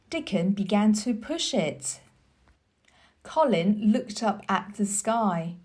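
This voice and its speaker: A woman storyteller reading a children's book aloud in a deliberate, expressive teacher's manner. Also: medium pitch. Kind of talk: scripted storybook narration.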